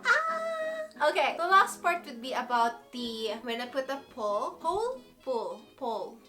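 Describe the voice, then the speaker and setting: Filipino girl in her 20s chatting about her skin, laughs a lot, kinda high voice. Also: very animated, slow, bright, forceful tone, faint music behind. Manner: chatty, giggly, rambling. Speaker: beauty vlogger. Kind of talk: casual chat to camera.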